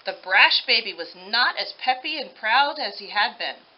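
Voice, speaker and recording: High-pitched, animated woman reading a storybook. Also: moderate pace. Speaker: storybook reader. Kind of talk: expressive children's story narration. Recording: dull lo-fi audio.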